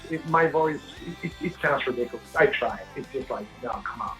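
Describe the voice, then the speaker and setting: A man from Sweden with a big, gravelly voice, telling stories at length in a casual interview and often restarting his sentences. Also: medium pitch, a little fast, fairly bright tone, faint music behind. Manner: rambling storyteller, frequent restarts. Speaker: singer-songwriter guest.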